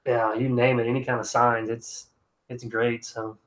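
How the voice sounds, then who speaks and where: Man, stuffy nose, laid-back livestream talk, rambling, mid-pitched, at home. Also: level tone, moderate pace. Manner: easygoing, rambling, frequent restarts. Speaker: excavation contractor streaming live. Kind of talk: casual livestream chat reading comments.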